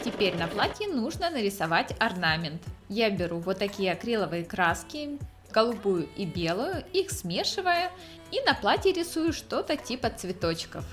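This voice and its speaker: A woman's voice: medium pitch, big pitch swings, normal speed, faint music behind. A woman hosting a craft tutorial, explaining each step in scripted narration. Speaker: craft channel host.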